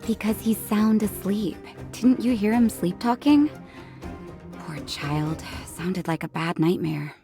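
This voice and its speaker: A woman playing a calm, shrewd, maternal woman who gently scolds, in a video game. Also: mid-range voice, slow pace, music in the background. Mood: knowing, gentle.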